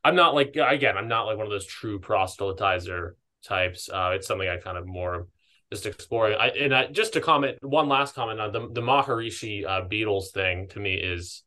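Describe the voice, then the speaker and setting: Man, podcast co-host, fairly low pitch, bright forceful tone, casual, rambling, self-interrupting. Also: expressive, fairly quick.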